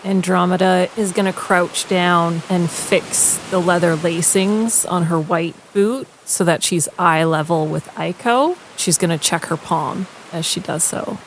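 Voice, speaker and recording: Give me a woman at a tabletop game describing what her character does. She is matter-of-fact and a bit slow, with a clear hiss on the recording. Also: on the low side, low-quality recording. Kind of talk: narrating a character's actions in third person.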